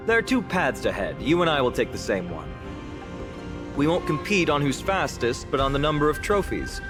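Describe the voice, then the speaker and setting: A man's voice: mid-range voice, lightly acted. A male actor playing a playful, boastful warrior in a video game, with a theatrical, teasing manner.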